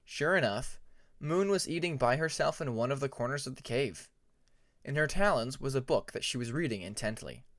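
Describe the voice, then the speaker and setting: A man reading a fantasy story aloud as scripted narration, in a measured storybook manner. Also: mid-range voice, expressive, fairly bright tone. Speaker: fan fiction audiobook narrator.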